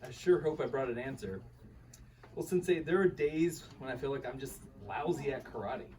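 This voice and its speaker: A man's voice: mid-range voice, normal speed, natural voice. A man playing a sheepish, self-doubting husband telling his story in a live radio play reading. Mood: rueful storytelling. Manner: conversational, rueful, animated storytelling. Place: live reading at a bookshop event. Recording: distant mic, faint room noise.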